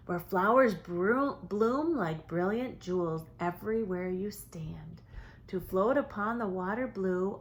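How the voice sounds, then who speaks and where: A woman voicing a kind, wise old giant turtle in a storybook, speaking slow, solemn, lilting verse. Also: lowish voice. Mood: solemn, loving.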